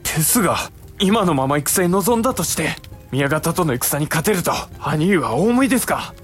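A man's voice: a little high, very animated, moderate pace, faint music behind. An actor playing an earnest, anxious samurai younger brother and advisor in a Japanese period audio drama, formal and deferential in his questioning. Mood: troubled.

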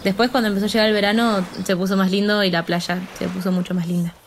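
A woman's voice: medium pitch, flat delivery, fast, a little hiss or hum. Chatty Argentine woman in her twenties. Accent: Argentine Spanish. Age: 20s. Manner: chatty, self-deprecating, with fillers and laughs. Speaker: interview guest. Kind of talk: casual storytelling.

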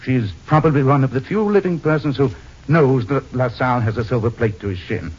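An actor playing a suave, unflappable amateur sleuth with dry wit in an old-time radio detective drama, wry and hesitating deliberately. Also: male voice, mid-range voice, a little mellow, slightly rough, natural voice. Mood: explaining, assured. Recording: dull, muffled old radio recording.

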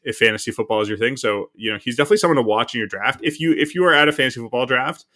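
A man's voice: medium pitch, very fast, bright, forceful tone. A man co-hosting a podcast, talking about football in a loose, rambling way with lots of fillers. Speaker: podcast co-host. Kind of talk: casual sports chat.

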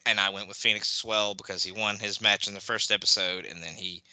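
A man's voice: lowish voice, brisk, no room sound, poor audio. A man hosting a casual podcast, talking loosely with lots of fillers and handing questions to his guest. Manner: relaxed, many fillers, hands off questions to the guest. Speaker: podcast host.

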